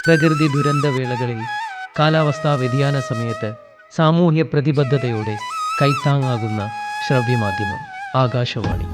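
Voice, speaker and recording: A man's voice: medium pitch, unhurried. A man radio announcer reading a formal, declamatory promotional script. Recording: clear music bed behind.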